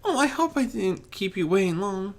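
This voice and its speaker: Timid young female pony played by a man: high-pitched, gentle, hesitant and polite, for a fantasy audiobook. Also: big pitch swings, normal speed. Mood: shy, gentle. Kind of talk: fan fiction audiobook character reading.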